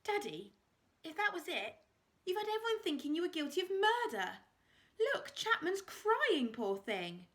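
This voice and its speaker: A bossy, scornful young aristocrat girl with a sharp tongue, voiced by a woman reading a storybook. Her voice jumps high above the reader's own and comes out loud. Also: sing-song, measured pace, heavily acted. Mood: exasperated.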